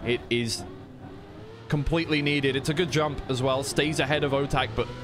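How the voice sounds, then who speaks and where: A man's voice: medium pitch, fairly even intonation, moderate pace, over music. A man giving live commentary on a racing game in an informal, slightly flustered way, thinking aloud between calls. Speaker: esports commentator and streamer. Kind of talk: live racing game commentary mixed with casual stream chat. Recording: clear noise, game car engines behind.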